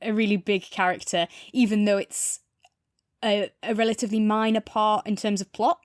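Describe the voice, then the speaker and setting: A woman's voice: mid-range voice, little pitch movement, moderate pace, dry recording, clean studio sound. A woman co-hosting a podcast, talking casually through a review.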